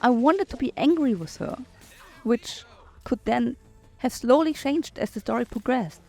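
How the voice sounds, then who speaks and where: A woman's voice: fairly high pitch, darkish tone. A woman giving thoughtful, critical commentary over a video game. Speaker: gaming commentator. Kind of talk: recorded gameplay commentary.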